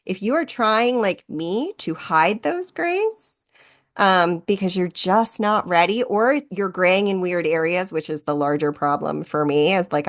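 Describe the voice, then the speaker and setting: A woman in her thirties chats on a podcast in a lowish voice. She rambles in a friendly, self-deprecating way, with plenty of "like" and "um" fillers. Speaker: podcast co-host and wedding photographer. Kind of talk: casual podcast chat.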